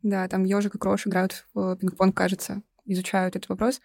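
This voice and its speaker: A woman's voice: mid-range voice, monotone, fairly quick, gravelly, darkish tone, dry recording, studio quality. A young woman co-hosting a podcast, explaining her views articulately in a casual discussion. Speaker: podcast co-host.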